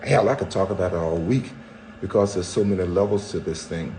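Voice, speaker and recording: An older man in his seventies talking openly and at length on a podcast. He tells stories with a wry, self-mocking humor, and his voice sits on the low side. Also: brisk, darkish tone, slight background noise, poor audio. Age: 70s. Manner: candid storyteller, wry self-mocking jokes. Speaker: podcast co-host, husband. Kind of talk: casual chat.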